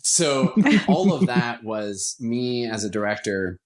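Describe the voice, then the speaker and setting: A man's voice: mid-range voice, expressive, takes it slowly. A man speaking as an interview guest, explaining his creative work as a radio theatre director in an enthusiastic, conversational way.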